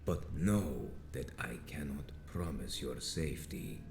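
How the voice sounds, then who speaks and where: A very deep, gravelly vampire elder. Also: male voice, background noise, heavily acted. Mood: stern, condescending. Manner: grandiose, menacing, deliberate. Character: ominous male vampire cult elder, solemn and preaching. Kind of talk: video game voice acting. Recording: echoing voice under game music.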